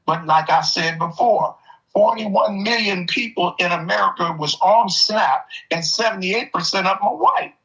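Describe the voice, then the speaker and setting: A man's voice: high voice, normal speed, sharp tone. An older man in casual, opinionated conversation, telling stories in an emphatic, streetwise way. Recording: dull, lo-fi audio.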